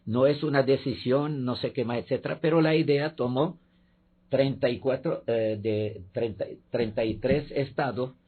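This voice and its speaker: A man, a guest professor, giving measured political commentary in a debate, pausing often with fillers. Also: mid-range voice, breathy edge. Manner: measured, halting with many fillers. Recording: tinny phone-like line.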